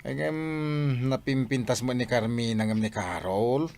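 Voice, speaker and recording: A man's voice: medium pitch, measured pace, a little mellow, lightly acted. A man acting a casual, curious, teasing buddy in a radio drama.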